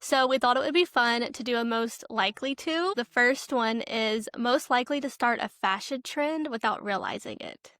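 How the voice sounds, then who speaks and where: A woman's voice: fairly high pitch, moderate pace, hardly any pauses, sharp tone, no echo, studio quality. A woman co-hosting a podcast interview in a casual, informal way, often dropping in "like". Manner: informal, peppers talk with "like". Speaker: podcast co-host.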